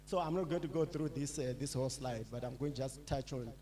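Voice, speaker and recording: A middle-aged man from Zimbabwe giving a public lecture, talking in a halting way with many fillers and restarts. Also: medium pitch. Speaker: lecturer, associate professor.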